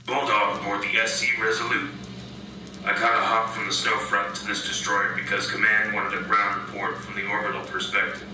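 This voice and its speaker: A man's voice: lowish voice, monotone, normal speed, bright, forceful tone. A man playing a wry, gung-ho frontline war correspondent reporting from a warship in a sci-fi radio drama, with dry, clipped delivery. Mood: wry, gruff cheer. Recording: echoing, noisy field transmission, distant mic.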